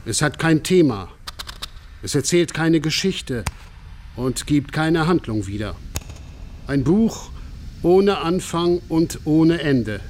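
A man reading a scripted radio documentary narration in a solemn, measured manner. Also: a little high, animated, darkish tone, slight background noise.

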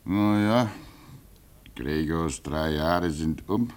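An actor playing a gentle, patient older man at a lonely polar station in a radio play, speaking fondly and musingly. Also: sits low, extremely slow. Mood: musing, fatherly. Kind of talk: old German radio play acting.

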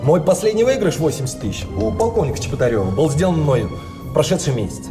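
An actor playing an eager, restless card sharp in a theatrical audio play, speaking in an animated, pleading way. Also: male voice, fairly high pitch, fast talker. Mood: excited longing. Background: music.

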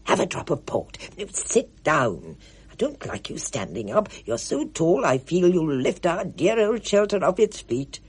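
A woman's voice: fairly low pitch, very animated, a little slow, darkish tone, breathy edge, exaggerated voice. A woman voicing a plump, jovial older woman who talks in a homely, motherly way.

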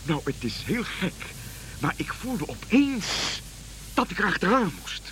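A male actor playing an excitable, bashful European king in a comic radio play, politely flustered and repeating himself in hesitant bursts. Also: high voice, measured pace, noisy background. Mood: earnest confiding.

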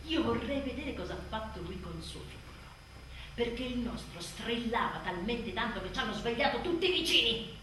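An actress performs a frazzled, bitterly funny new mother confessing in a theatrical monologue. Her fairly high voice swings dramatically from muttering to shouting, with big leaps in pitch. It is heard in a very reverberant space. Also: expressive, normal speed, lightly acted. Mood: sarcastic. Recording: roomy distant theatre sound, faint noise.

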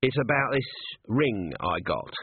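A man's voice: on the high side, animated, takes it slowly, breathy edge, narrow, tinny audio, pitched above the performer's own voice, heavily acted. A man voicing a scruffy, hapless corporal with a miserable manner in a storybook reading.

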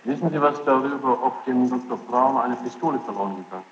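A man's voice: mid-range voice, quick pace. A man cross-examining a witness with direct, insistent questions, recorded in a large courtroom on old tape. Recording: echoey hall, dull noisy archive tape.

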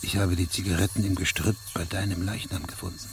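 An actor playing a stern, methodical investigating judge in a radio drama, measured, probing and authoritative. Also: male voice, deep voice, level tone, background noise. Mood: calm, probing.

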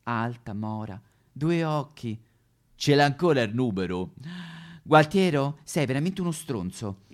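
A man's voice: mid-range voice, expressive, a little slow, close to an everyday voice. Man acting out a bickering, indignant married couple. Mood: indignant, dramatic. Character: quarrelling married couple, indignant wife and boastful husband Gualtiero. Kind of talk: theatrical comic sketch.

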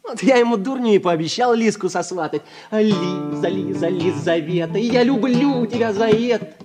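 A man's voice: sits high, normal speed, loud music behind the voice, natural voice. A man acting in a Soviet radio drama as a cocky, teasing combine driver in his thirties, boastful and folksy. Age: 30s. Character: cocky joking thirty-year-old village combine driver with guitar.

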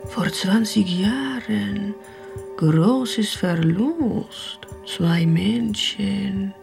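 Mysterious fortune teller, super slow n creaky, broken phrases, radio play, faint music behind. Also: female voice, medium pitch, lively intonation, heavily acted. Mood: ominous, mysterious. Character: mock fortune teller, a teasing female friend in disguise. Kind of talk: German radio drama acting.

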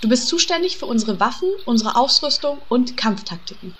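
A woman acts out a vain, bossy female guild leader in a comedy audio play. Her voice is fairly high and very bright, with a commanding, coquettish manner. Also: lively intonation, a little hiss or hum. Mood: haughty. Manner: commanding, brisk. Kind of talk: comic audio drama parody.

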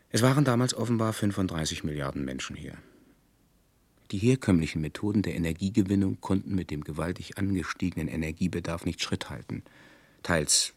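Detached future historian in a radio drama, really deep, measured, totally dry recording. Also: male voice, no echo. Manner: measured, deliberate, formal. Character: solemn, detached chronicler of a future society. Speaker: narrator. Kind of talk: scripted radio play narration.

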